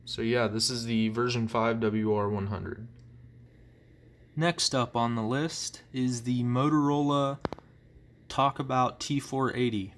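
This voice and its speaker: A man's voice: medium pitch, fairly even intonation, slow pace, slight background noise. A man giving a casual show-and-tell review as a hobbyist, in a rambling, hesitant way, recorded on a phone. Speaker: hobbyist collector presenting items. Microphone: phone, handheld or propped nearby.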